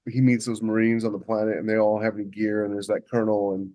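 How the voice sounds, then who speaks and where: A man chatting casually as a podcast co-host and writer, halting and restarting as he thinks aloud. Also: on the low side, flat delivery, warm tone. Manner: halting, thinks aloud with many repeated false starts. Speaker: podcast co-host and science fiction author. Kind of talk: casual chat about book writing.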